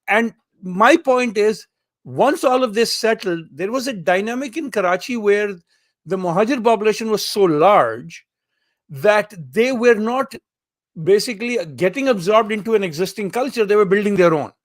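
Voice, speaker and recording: A male host leading a conversational interview, often affirming and cutting in briskly. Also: high voice, expressive, no room sound, clean studio sound.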